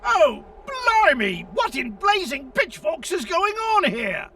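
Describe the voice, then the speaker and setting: An actor playing an excitable, blustery racing plane in an animated video game. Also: male voice, extremely high pitch, slow pace, distant mic, slight background noise, full character voice. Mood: alarmed. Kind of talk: exclamatory cartoon game acting.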